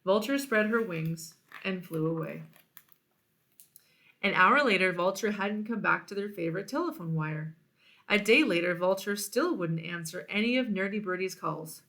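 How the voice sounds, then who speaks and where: A woman reading a children's storybook aloud in an expressive narrating style. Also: medium pitch, normal speed. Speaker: storybook reader.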